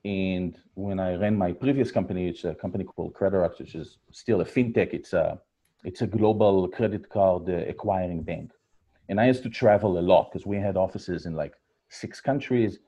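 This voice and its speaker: An Israeli man in his forties, a guest on a podcast, telling family stories in a low, dark, slightly husky voice. He ambles along with fillers and self-corrections. The recording sounds a little muffled and lo-fi. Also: moderate pace. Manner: storytelling, many fillers and restarts. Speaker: guest, startup founder. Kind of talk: podcast interview.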